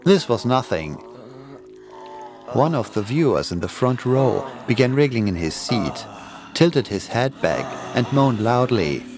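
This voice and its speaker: A man's voice: medium pitch, big pitch swings, a little slow, over music. A man reading a scripted horror story as its narrator.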